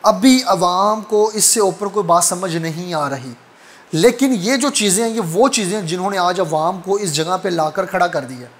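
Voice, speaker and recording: A Pakistani man with a distinctly high voice presents the news. He speaks as a commentator, addressing the viewers directly. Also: moderate pace.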